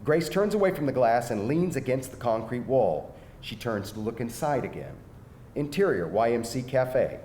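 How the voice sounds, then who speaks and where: The room is reverberant, with faint background noise. A man reads screenplay action aloud as narrator, using expressive, varied intonation and deliberate storytelling pauses. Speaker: narrator reading screenplay directions. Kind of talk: scripted narration, live table read. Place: echoing indoor venue at live reading. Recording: echoey live room, faint rumbling noise.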